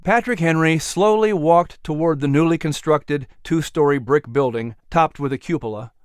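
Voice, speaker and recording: A male audiobook narrator with a fairly high, smooth voice. He reads a dramatic historical story for children in a scripted style. The recording is very dry and close. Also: moderate pace, dry recording.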